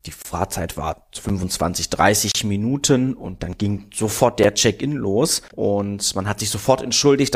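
A man's voice: lowish voice, slightly rough. A man from Berlin chatting casually about a trip, telling it in detail with plenty of fillers. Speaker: cruise company staff guest. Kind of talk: casual podcast chat.

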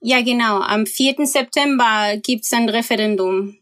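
A woman's voice: on the high side, measured pace, fairly bright tone. A woman from Chile, speaking as a guest in simple, emphatic phrases.

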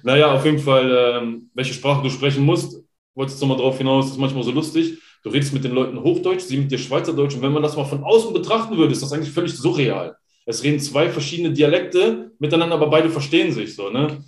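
Guest spot: German man in his thirties, rambling chatty storyteller, mid-pitched, roomy echoey sound. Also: fast. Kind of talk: casual interview chat. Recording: roomy echo.

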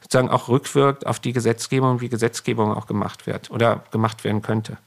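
A man's voice: mid-range voice, fairly even intonation, fast, gravelly. A man being interviewed as a guest, explaining legal topics at length while thinking aloud with fillers.

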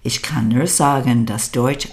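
An older American woman with an American accent, a native English speaker, hosting a podcast in a measured, scripted monologue. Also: a bass voice, darkish tone. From United States. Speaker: podcast host.

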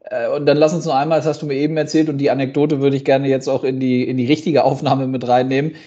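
Mellow-voiced male interviewer, slightly dull audio. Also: medium pitch, little pitch movement, quick pace. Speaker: interviewer, podcast host. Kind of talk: conversational interviewing.

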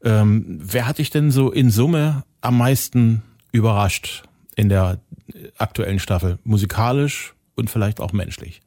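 Low-voiced man interviewing, dry sound. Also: unhurried, warm tone, no echo. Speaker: radio interviewer. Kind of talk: casual interview chat.

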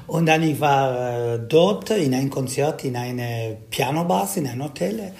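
A man from South Tyrol with an Italian accent, a singer answering interview questions in halting German full of fillers. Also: mid-range voice, lively intonation, vocal fry, a little mellow. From South Tyrol, Italy. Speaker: Schlager singer, interview guest.

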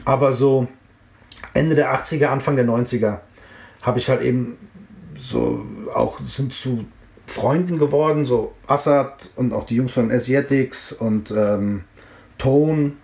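A man's voice: mid-range voice, animated, unhurried, vocal fry, darkish tone. A middle-aged man from the Frankfurt area, reminiscing in a casual interview and rambling with lots of fillers and restarts. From Frankfurt area, Germany. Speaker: interviewee, hip-hop artist. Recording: roomy echo.

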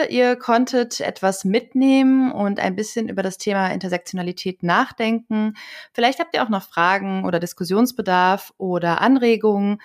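A woman hosting a podcast, moderating an interview in a conversational way and sometimes searching for words. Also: mid-range voice. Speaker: podcast host.

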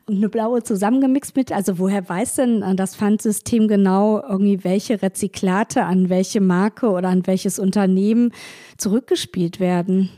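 A woman's voice: mid-range voice, steady tone, moderate pace, warm tone, no room sound, clean studio sound. A woman hosting a business podcast, reading a scripted intro and then asking friendly, upbeat interview questions. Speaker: podcast host and PR lead.

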